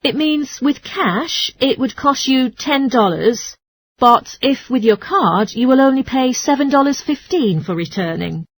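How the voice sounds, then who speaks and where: A woman's voice: high voice, lively intonation, measured pace, no echo, full character voice. A woman playing a polite, helpful transport information clerk who explains things in a scripted exercise dialogue. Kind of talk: scripted listening-test dialogue.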